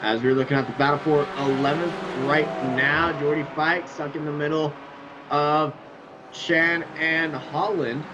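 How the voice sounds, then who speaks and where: Male race caller, fairly high voice, lively banter, heavy background noise. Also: a little slow. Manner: energetic, jokey play-by-play. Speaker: sim racing commentator. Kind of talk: live race commentary. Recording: loud noise behind voice.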